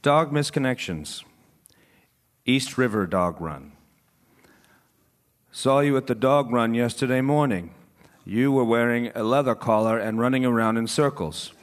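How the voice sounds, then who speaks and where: A man's voice: medium pitch, unhurried, long pauses, lightly acted. A man performing a comic staged reading as a lovelorn, eager dog, earnest and matter-of-fact about absurd romantic hopes. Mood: earnest, hopeful.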